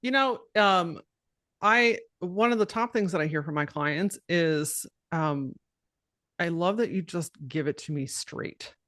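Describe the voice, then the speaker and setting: A woman with a low voice talking bluntly and directly as a podcast guest, cussing freely and laughing often. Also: measured pace, fairly bright tone, dry recording, clean studio sound. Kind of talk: casual podcast conversation.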